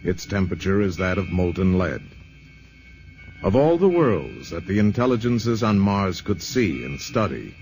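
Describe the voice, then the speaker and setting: An actor playing a grave, solemn narrator in a 1950s radio drama, speaking in a measured, portentous way. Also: male voice, low voice, very animated, a little mellow, exaggerated voice, low-quality recording. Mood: grave, foreboding. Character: grave, solemn narrator of an alien invasion tale. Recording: vintage radio broadcast with music behind.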